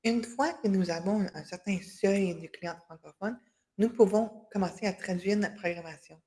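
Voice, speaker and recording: A woman hosts a webinar over a video call, her voice sitting at a middle pitch. She talks hesitantly, full of fillers and restarts as she hunts for words. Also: moderate pace, dark tone. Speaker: webinar host for a women's enterprise organization. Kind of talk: closing remarks of an online workshop.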